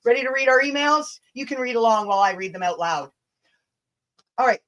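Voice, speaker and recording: A woman's voice: on the high side, expressive, brisk, a bit bright. A Canadian woman hosting a casual, rambling livestream, sarcastic and sweary, drawing out words for emphasis. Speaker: livestream host. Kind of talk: casual, rambling livestream chat with mocking asides.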